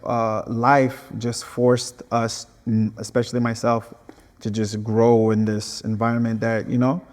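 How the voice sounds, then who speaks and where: A man's voice: medium pitch, level tone, unhurried, a little mellow, big-room sound. A young man from Angola, whose first language is Portuguese, chatting earnestly in an interview and restarting his phrases. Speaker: guest artist. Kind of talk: casual interview chat.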